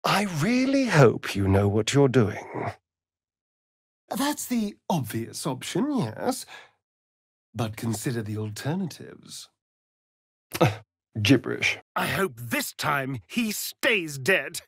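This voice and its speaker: A man's voice: mid-range voice, very animated, slow, long pauses, husky, no echo, clean studio sound, exaggerated voice. A man with an English accent playing a sardonic, aristocratic vampire rogue in a video game, arch, drawling and haughty. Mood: dramatic. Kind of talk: video game character dialogue.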